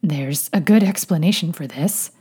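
A woman's voice: low-pitched, moderate pace, warm tone, husky. A woman voicing a dignified male master, dry and evasive, in a fan fiction audiobook. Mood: cornered, awkward.

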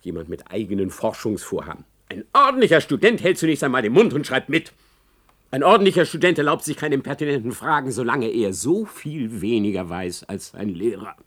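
An actor playing a spiteful, scheming academic in a German radio play, haughty, biting and emphatic. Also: male voice, mid-range voice, very animated, fairly quick, a bit bright. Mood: haughty, irritated. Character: spiteful, scheming male academic, arrogant and vindictive.

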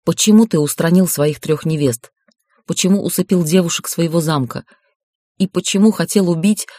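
A male narrator reads as a fiery young woman in a fantasy audiobook. She is defiant and emotional, pressing insistently in a fairly high register for a male reader. The recording is dry. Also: normal speed, warm tone, no room sound, lightly acted. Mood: desperate accusation. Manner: challenging, emotional, insistent questioning.

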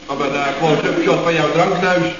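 A man's voice: a little high, fairly even intonation, normal speed, background noise, lightly acted. Word-garbling male joker played by an actor, echoey. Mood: muddled, teasing. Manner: muddles and swaps words. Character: teasing male colleague who garbles his words. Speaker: actor in a radio comedy serial. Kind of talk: radio comedy acting. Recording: echoey, dull old radio recording.

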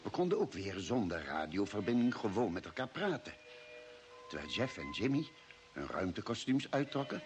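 A man's voice: medium pitch, lightly acted. A man acting a thoughtful ship's doctor who narrates an expedition in a radio drama.

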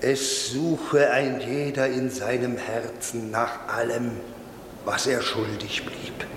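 An actor playing a stern old priest who delivers a solemn, measured sermon in a 1950s German radio drama. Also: male voice, mid-range voice, steady tone, natural voice. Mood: grave, stern. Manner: solemn, rhetorical, measured preaching with deliberate pauses. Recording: 1950s radio, echoing church reverb, background noise.

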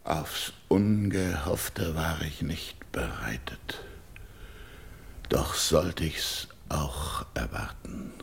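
An actor playing a proud, stern barbarian king in a classical verse radio drama, declaiming in a commanding, weighty, deliberate manner. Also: very deep voice, expressive, gravelly, in a whisper, full character voice. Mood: wounded, sardonic.

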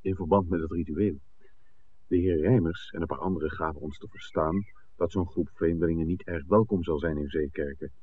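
A man's voice: deep voice, steady tone, brisk, phone-quality sound, natural voice. A man playing an inquisitive, suspicious film maker in an old radio drama, articulate, probing and wry. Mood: politely probing. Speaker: radio play actor. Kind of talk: 1960s radio drama acting. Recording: thin, noisy old radio recording.

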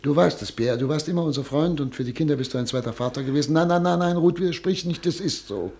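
An actor playing a German émigré doctor who calmly foresees war and internment in a radio drama. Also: male voice, on the high side, fast, slight background noise, lightly acted. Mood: fatalistic. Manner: subdued, fatalistic, earnest. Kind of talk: radio play acting.

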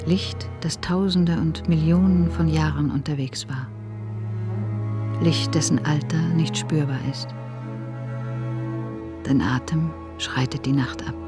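Slow, low-voiced wistful woman, over music. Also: fairly even intonation. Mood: tender, wistful. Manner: slow, lyrical, intimate delivery. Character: tender, wistful woman addressing a sleeping lover. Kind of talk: hushed poetic radio drama monologue.

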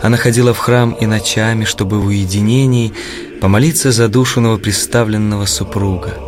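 A man narrating a religious historical audio play from a script in a solemn, measured way. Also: on the low side, steady tone. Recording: clear music bed under narration.

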